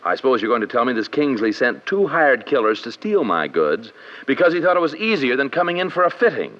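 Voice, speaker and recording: A man's voice: mid-range voice, very animated, brisk, lightly acted. A man playing a pompous, exasperated tailor in an old radio drama, speaking with precise indignation. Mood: exasperated disdain. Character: fussy, haughty, exasperated male tailor shop owner. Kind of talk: 1940s-50s radio drama acting. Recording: dull vintage radio recording.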